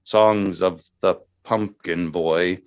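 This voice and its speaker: A man's voice: low voice, fairly even intonation, slow, clear, resonant tone, dry recording. A man who plays in bands, chatting casually as an interview guest and telling stories about his music. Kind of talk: casual chat, recounting band history.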